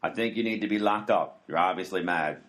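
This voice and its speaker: A man playing a gruff, skeptical security guard in a comedy radio play. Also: low-pitched, a little fast, fairly bright tone. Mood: stern, skeptical.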